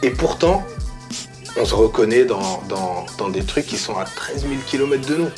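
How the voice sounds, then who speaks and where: A man's voice: medium pitch, expressive, normal speed, a little mellow, soft background music. A man with a French accent hosting a casual conversational interview, slangy and reflective, often repeating his words. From France. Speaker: interview host.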